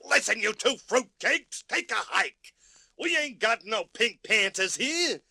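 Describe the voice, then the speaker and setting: An actor playing a brash, sarcastic working-class loader in a comic radio drama for children. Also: male voice, extremely high pitch, animated, slow, vocal fry, sharp tone, husky, no room sound, studio quality, heavily acted. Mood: mocking, dismissive. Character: gruff, mocking working-class male dock loader. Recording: slightly noisy vintage vinyl record.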